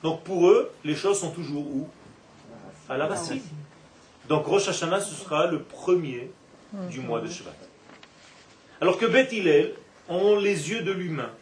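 A man giving an animated Torah class with playful asides, recorded from a distance in a roomy classroom. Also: a little high, a little slow, long pauses. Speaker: Torah teacher. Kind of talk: religious class lecture. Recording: echoey room, from far off.

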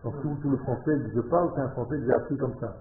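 A man's voice: medium pitch, steady tone, fast talker, slight background noise. Man teaching, tinny and echoey. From Israel. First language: Hebrew. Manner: impassioned, swings from quiet to loud exclamations. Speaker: religious teacher. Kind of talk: Torah lesson to a live group. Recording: tinny phone-like echoey room.

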